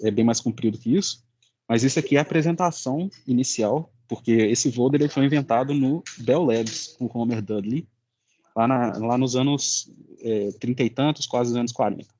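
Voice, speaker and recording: Young male Brazilian student presenter: husky, informal, halting, very dry close sound. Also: mid-range voice, dark tone, no echo. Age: young adult. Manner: halting, searching for words. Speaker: student presenting final project.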